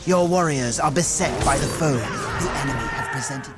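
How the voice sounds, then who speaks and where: An actor playing a grave battle herald, announcing in a formal, declamatory way for a video game. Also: male voice, medium pitch, normal speed, lightly acted. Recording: loud music behind the voice.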